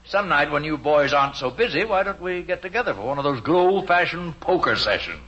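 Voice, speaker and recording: A breezy, pushy visitor in an old American radio comedy. His voice is fairly high, lively and bright, hearty in manner, on a dulled old recording. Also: fairly quick, highs cut off, a little hiss or hum. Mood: genial. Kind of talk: 1950s radio sitcom acting.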